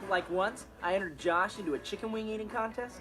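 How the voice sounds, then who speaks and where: A man's voice: sits high, brisk. A young male actor playing a laid-back, irresponsible teenage stepbrother, telling stories with offhand comic delivery in a TV sitcom. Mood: casually amused. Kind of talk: scripted comic monologue in a TV sitcom. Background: loud music and laughter.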